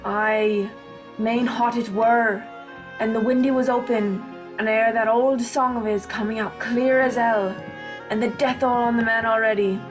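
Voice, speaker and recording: A woman's voice: fairly high pitch, fairly even intonation, a little slow, over music, lightly acted, low-quality recording. A woman playing a superstitious pirate crewman in a radio play, speaking rustic sailor dialect. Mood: uneasy. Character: superstitious male pirate crewman, fearful of ghosts.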